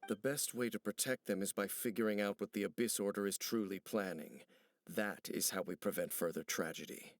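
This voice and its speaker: An actor playing a solemn, brooding ancient warrior in a video game, speaking gravely and formally, embittered by old betrayal. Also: male voice, lowish voice, fairly even intonation, dry recording, lightly acted. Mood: grave, wary. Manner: grave, formal, measured speech. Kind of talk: video game character dialogue. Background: background music.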